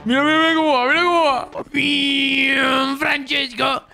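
An Argentine man bantering casually in an online roleplay game, excitable and slangy, often repeating his phrases. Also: extremely high pitch, unhurried, vocal fry, faint music behind. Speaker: gamer playing a roleplay character.

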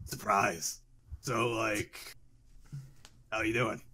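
A man voicing a loud, bumbling cartoon warlord villain with a gravelly voice, ad-libbed for a comedy fan dub. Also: on the low side, level tone, extremely slow, slight background noise, heavily acted. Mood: smug, casual. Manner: ad-libbing, exclaiming in bursts.

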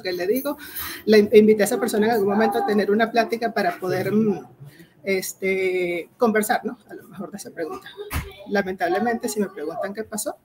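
A woman's voice: medium pitch, noisy background. Venezuelan lady on a video call teaching agile stuff, lots of "este" and "eh", chatty explainer tone. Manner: explanatory, addresses the audience directly, frequent fillers "este" and "eh". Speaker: agile coach presenting a workshop. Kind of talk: online workshop presentation.

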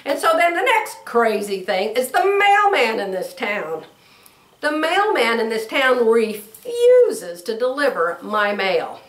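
Hoarse chatty older woman, roomy sound. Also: on the high side, big pitch swings, takes it slowly, far from the mic. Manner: chatty storytelling, acts out quoted voices. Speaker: sewing vlogger. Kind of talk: casual chat to camera. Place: bedroom sewing room, indoors. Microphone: camera across the room. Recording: roomy, from off mic.

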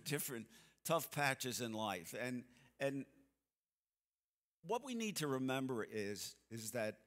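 A man in his seventies giving a church seminar talk, a folksy, animated storyteller who acts out the people in his stories. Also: medium pitch. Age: 70s. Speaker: seminar speaker. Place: church sanctuary stage, seated. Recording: long hall reverb from the sanctuary.

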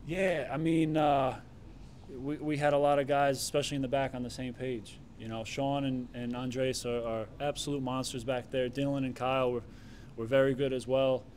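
A man in his thirties, a goalkeeper answering reporters' questions at a press conference in a relaxed way, with many fillers and restarts. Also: mid-range voice, normal speed, slight background noise. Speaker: soccer goalkeeper. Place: stadium press room.

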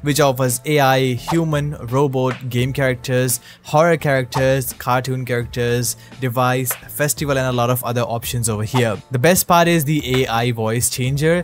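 Man, medium pitch, YouTube host, scripted review narration, faint music behind.

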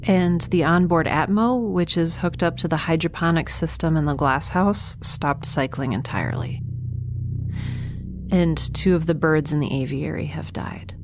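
A woman's voice: low voice, a little slow, background noise. An actress playing a lone young woman stranded in space who records a log, talking in a hesitant, wry way with dry asides. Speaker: narrator keeping a log. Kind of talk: audio drama monologue.

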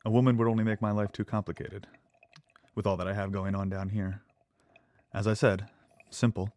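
An actor playing a nervous, devoted lab assistant in an audio drama, speaking earnestly and with hesitant stammers. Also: male voice, fairly low pitch, little pitch movement, normal speed, a little mellow, natural voice. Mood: awkward, earnest.

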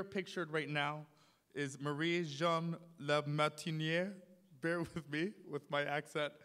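A man's voice: on the high side, a bit bright. A young man, a city council member, giving a passionate speech at a podium in a large ornate hall. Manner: passionate, rising to emphatic points. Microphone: podium mic. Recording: long hall reverb. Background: audience in the hall.

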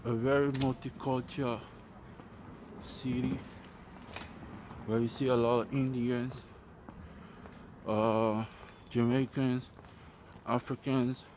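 A man vlogs as he walks down a street, talking slowly in short, halting bits. His voice sits at an average pitch, and the delivery is casual and unscripted. Faint traffic noise runs behind him outdoors. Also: level tone. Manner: halting, speaks in short fragments. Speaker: vlogger giving a walking tour. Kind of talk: casual, unscripted walking commentary. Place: outdoors walking along a residential street.

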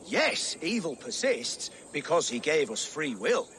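An actor playing a pious, pedantic middle-aged monk in a video game, stammering, preachy and easily indignant. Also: male voice, a little high, very animated, a little slow, fairly bright tone, slight background noise. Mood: earnest, flustered. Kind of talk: video game cutscene acting.

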